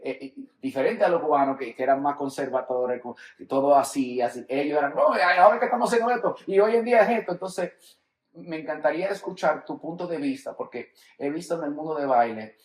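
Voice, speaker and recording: A man from New York hosting a podcast, asking questions and telling anecdotes in a relaxed, conversational way. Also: a little high, fairly quick, echoey room, poor audio. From New York, United States. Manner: relaxed, conversational, acts out quotes. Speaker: podcast host. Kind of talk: casual podcast interview.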